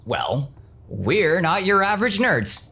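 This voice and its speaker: Guy playing nerdy young ghost hunter, eager n explainy, sharp animated voice, audio drama. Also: sits high, takes it slowly, gravelly, heavily acted. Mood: insistent.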